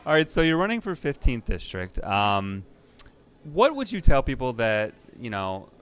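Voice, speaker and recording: A man's voice: fairly low pitch, a bit bright. A man working as a reporter, asking casual interview questions that ramble and restart. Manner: rambling, self-correcting questions.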